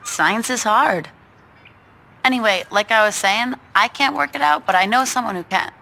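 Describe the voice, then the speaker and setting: A woman's voice: fairly high pitch, moderate pace, creaky, bright, forceful tone. An actress playing a laid-back young gangster stoner woman in a video game, casual, slangy and wry. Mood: breezy.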